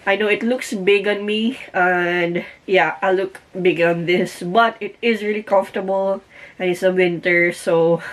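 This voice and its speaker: A woman's voice: medium pitch, fairly bright tone. A woman in her thirties with a Filipino accent, chatting casually in a home vlog from her bedroom and switching between Tagalog and English. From Philippines. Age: 30s. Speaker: vlogger.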